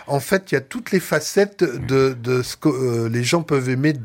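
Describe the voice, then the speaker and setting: A man's voice: mid-range voice, expressive, moderate pace. A man hosting a casual radio talk show from a studio table, speaking with many fillers and restarts. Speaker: radio host.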